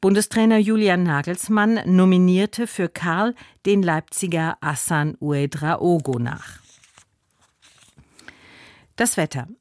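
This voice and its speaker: A woman's voice: lowish voice, takes it slowly, a little mellow, dry recording. A woman reading a scripted radio news and weather bulletin in a broadcast studio. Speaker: radio newsreader.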